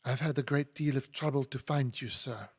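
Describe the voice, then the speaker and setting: A man's voice: mid-range voice, normal speed, husky, long reverb tail. A man voicing a fierce, disdainful French maid with a French accent and insistent, foreign phrasing. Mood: indignant.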